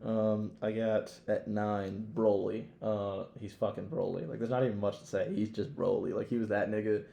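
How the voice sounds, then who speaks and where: A man's voice: on the low side, flat delivery, normal speed, gravelly, mellow. A man chatting casually as a podcast co-host, with an emphatic, slangy, sweary way of talking. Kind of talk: casual chat ranking favourites. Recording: echoey room.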